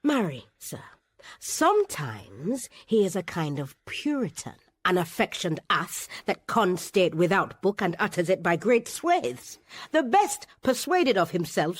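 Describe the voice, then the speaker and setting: An actress playing a sharp-witted, scheming serving gentlewoman in a Shakespearean radio comedy, sly and scornful. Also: medium pitch, no room sound.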